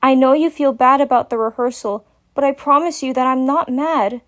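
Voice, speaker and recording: Woman voicing an earnest, high-voiced caring unicorn mare. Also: normal speed, fairly bright tone. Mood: reassuring. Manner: soothing, earnest, insistent. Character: caring, earnest young female unicorn, motherly and firm.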